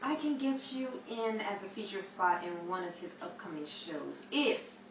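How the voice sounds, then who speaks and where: Actress as cautious showgirl's manager, animated female voice, persuasive, radio drama, far from the mic. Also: mid-range voice, moderate pace, lightly acted. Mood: coaxing, cautioning. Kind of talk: 1930s old-time radio drama acting. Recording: noisy, echoey, distant mic.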